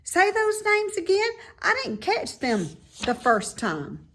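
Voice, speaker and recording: Excitable young storybook piglet, male, played by a woman: high, swooping, naive and boastful. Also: slow pace, full character voice. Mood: amazed, curious. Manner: excitable, wide-eyed exclamations and questions.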